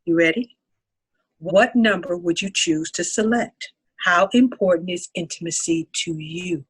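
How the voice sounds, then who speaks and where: A woman playing a composed, patient couples counselor in a dramatic table read, talking in a measured, instructive way. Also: lowish voice, breathy edge, rough recording. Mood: calm, instructive.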